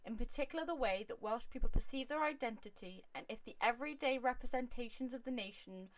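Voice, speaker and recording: A woman's voice: a little high, fast, poor audio. A woman narrating a documentary from a script in a formal, academic manner.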